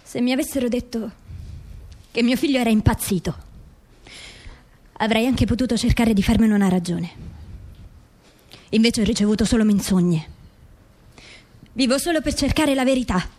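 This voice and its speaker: A woman's voice: fairly high pitch, moderate pace, long pauses, a little mellow, lightly acted. A woman playing a grieving, embittered mother who speaks earnestly and accusingly in a radio play. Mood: bitter grief.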